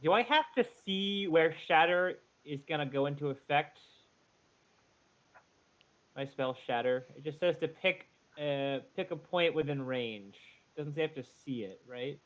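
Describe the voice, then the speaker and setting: A man with a fairly high voice plays a tabletop game. He speaks casually and matter-of-factly, querying rules and stating his moves. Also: unhurried, a bit bright, low-quality recording. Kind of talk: casual online game chat.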